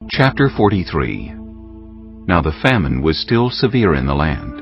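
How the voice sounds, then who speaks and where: A man's voice: low voice, big pitch swings, measured pace. A man reading scripted narration for a dramatized audio Bible. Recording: slightly muffled, music behind.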